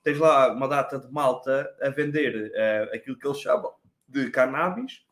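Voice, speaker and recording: A young man with a European Portuguese accent, chiming in and teasing in a casual chat. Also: medium pitch. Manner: rapid, teasing interjections.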